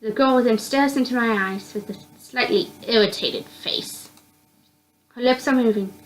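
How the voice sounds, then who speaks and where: A woman reading a visual novel aloud in an expressive narrating style, now and then stumbling and repeating words. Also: fairly high pitch, measured pace, low-quality recording.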